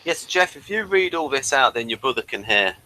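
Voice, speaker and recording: A man's voice: medium pitch, big pitch swings, a little fast, sharp tone, no echo, poor audio. A man hosting a podcast, explaining things in a casual review discussion. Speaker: podcast host.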